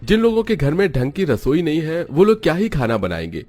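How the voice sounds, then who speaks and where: A man's voice: on the high side, very animated, fairly quick, a little mellow, faint music behind, voice pushed up. A man playing a scornful, belittling neighbourhood man in a cartoon. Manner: haughty, belittling. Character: scornful neighbourhood man looking down on poor households.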